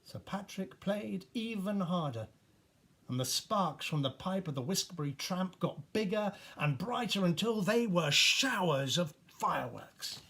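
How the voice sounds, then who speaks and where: Male storybook narrator, husky and unhurried, very animated pitch, expressive reading for young children. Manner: expressive, with dramatic pauses and hushed emphasis.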